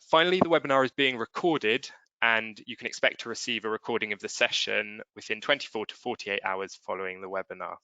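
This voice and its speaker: A man's voice: on the low side, sharp tone, smooth, dry recording. A man giving a polite, formal scripted introduction as a webinar moderator. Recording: dry, muffled webinar audio.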